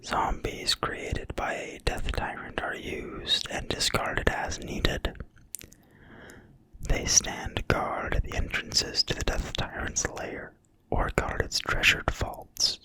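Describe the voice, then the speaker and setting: An ASMR creator reading in a quiet, breathy, soothing whisper with no voicing at all. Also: no room sound, low-quality recording. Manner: quiet, slow, soothing delivery. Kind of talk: whispered ASMR reading of a fantasy game manual.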